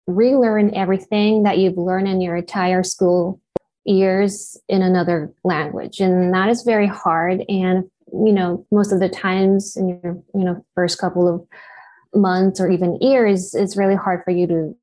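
A woman in her thirties whose first language is Japanese speaks about her life in a reflective interview. She talks at a steady, considered pace and leans on "you know" as she gathers her thoughts. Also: on the low side, a little slow. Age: 30s. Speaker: actor and guest.

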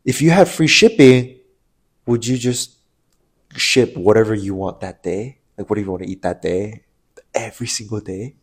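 A man's voice: lowish voice, moderate pace, slightly rough. Nasal, stuffed-up young man rambling. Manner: rambling, laughs often, restarts sentences. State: stuffed-up nose from allergies. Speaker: podcast co-host. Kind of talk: casual chat.

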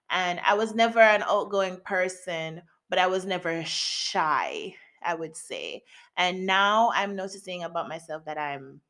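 Woman, late twenties, solo podcast host; unhurried, candid, playful slang, laughs a lot. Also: fairly low pitch, pushed and bright. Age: late 20s. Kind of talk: casual solo podcast chat. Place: home in Japan.

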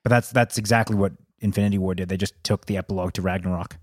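A man's voice: sits low, steady tone, rapid-fire, dry recording, clean studio sound. A man co-hosting a casual film review podcast, talking informally and ready to debate.

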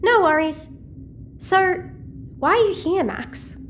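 A woman's voice: very high-pitched, expressive, slow pace, a little hiss or hum, full character voice. An actress playing a chatty, cheeky, nosy teenage girl in an audio sci-fi drama. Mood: playful, curious. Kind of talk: audio-fiction drama dialogue.

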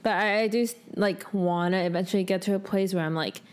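A woman's voice: medium pitch, fast, clear, resonant tone. A young adult woman co-hosting a podcast in casual chat, thinking aloud in informal speech peppered with "like". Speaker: podcast co-host.

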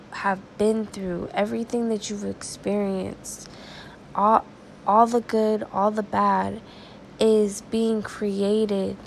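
Slow, gravelly-voiced woman preaching. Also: mid-range voice, slight background noise, low-quality recording. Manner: earnest, conversational, slow and prayerful. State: stuffy and raspy from crying. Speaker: devotional podcast host. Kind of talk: informal devotional talk and prayer.

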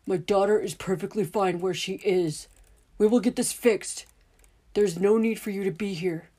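A woman voicing an angry, protective middle-aged father who shouts defiantly. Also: mid-range voice, fairly even intonation, moderate pace, husky, close to an everyday voice. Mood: stern.

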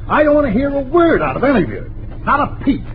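A man's voice: very high-pitched, sing-song, fast, full character voice. An actor playing an exasperated, blustering middle-aged American father in a 1940s radio comedy, sputtering and emphatic. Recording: muffled, noisy 1940s radio recording.

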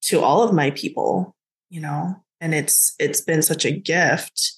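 Woman, guest, from the United States, lower voice, reflective, casual. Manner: reflective, many "like" fillers. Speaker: business mentor, guest. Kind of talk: casual conversation.

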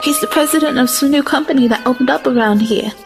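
A woman's voice: high-pitched, quick pace. A woman playing a gushing, gossipy young spectator in an anime fan dub, full of admiration. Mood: starstruck. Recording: music behind the voice.

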